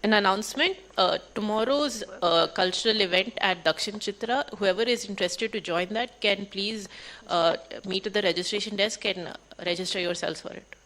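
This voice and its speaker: A woman's voice: medium pitch, normal speed, hardly any pauses, fairly bright tone, low-quality recording. A woman acting as emcee, making casual event announcements to a symposium audience. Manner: casual with fillers. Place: symposium hall.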